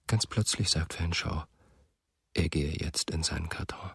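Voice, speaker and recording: Very deep, husky, brooding narrator. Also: male voice, no echo, studio quality, heavily acted. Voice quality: husky, gravelly. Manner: reflective, hushed. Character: brooding man recalling his childhood friend. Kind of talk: literary radio drama narration.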